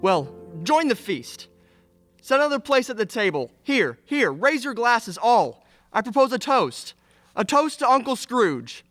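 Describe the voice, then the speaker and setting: A man's voice: very high voice, very animated, a little slow, a bit bright, faint music behind, full character voice. A man playing a flustered, welcoming young nephew in a radio play, hesitant and exclamatory. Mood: festive. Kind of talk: old-time radio play acting.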